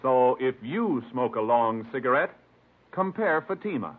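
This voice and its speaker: A man announcing on 1950s radio in a formal, measured and emphatic style. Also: medium pitch, animated, phone-quality sound. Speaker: program and commercial announcer. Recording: band-limited vintage radio recording.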